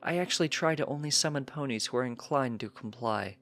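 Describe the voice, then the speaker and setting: A man voicing a gracious, gently amused pony princess in a storybook, calm, formal and measured. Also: mid-range voice, voice pushed up, lightly acted.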